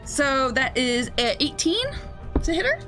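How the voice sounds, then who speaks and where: Higher-voiced woman playing a tabletop game. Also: big pitch swings, unhurried, fairly bright tone, soft background music. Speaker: player. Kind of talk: casual tabletop game talk.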